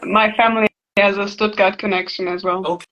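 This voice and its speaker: A woman's voice: mid-range voice, fairly even intonation, moderate pace, fairly bright tone, poor audio. A woman from Germany, a native German speaker, talking reflectively and casually, searching thoughtfully for her words.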